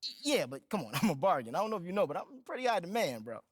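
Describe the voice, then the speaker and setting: An actor playing a goofy, eager, defensive male sidekick with a husky voice in comic video game banter. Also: high-pitched, big pitch swings, very fast, no room sound, clean studio sound, exaggerated voice. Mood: defensive, pleading.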